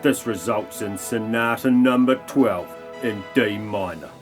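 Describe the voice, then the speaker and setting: Slow, deadpan male narrator. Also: on the low side, soft background music. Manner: deadpan, mock-grand. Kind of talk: comic scripted narration of parody audio play.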